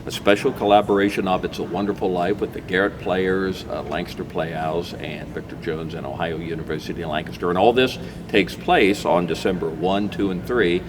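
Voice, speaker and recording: A man's voice: low voice, fairly even intonation, brisk, few pauses, background noise. An American man hosting a casual community interview in a folksy, chatty, encouraging way. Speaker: talk show host.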